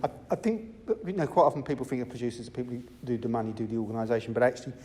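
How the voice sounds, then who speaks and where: A man's voice: mid-range voice, very fast, dark tone, long reverb tail. A man interviewing his guests, chatty and affirming. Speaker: interviewer, artistic director. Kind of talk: casual interview.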